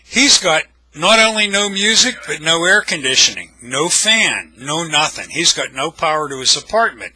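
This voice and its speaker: A man's voice: on the high side, sing-song, normal speed, hardly any pauses, sharp tone, breathy edge, dry recording, poor audio. An older man with an American accent, telling anecdotes casually in a blunt, wry, salty way. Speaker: storyteller, video blogger.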